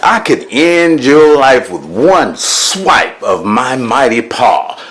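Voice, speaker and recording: A man narrating as a proud, grumpy male lion king in a storybook, slow, grand and huffy, growling now and then. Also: mid-range voice, big pitch swings, fairly bright tone. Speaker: first-person narrator.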